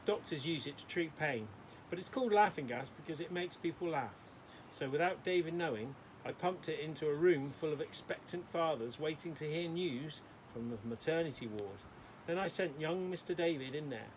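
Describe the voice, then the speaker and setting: A man's voice: fairly high pitch, animated, normal speed, slight background noise, close to an everyday voice, low-quality recording. Man reading as a kindly old hospital porter. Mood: confiding. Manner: courteous, addresses children formally.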